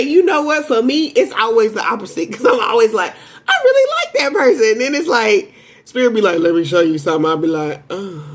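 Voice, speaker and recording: A woman giving a casual, rambling tarot reading to viewers, talking in a strong voice that jumps into sudden high exclamations. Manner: self-described strong voice. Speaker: tarot reader.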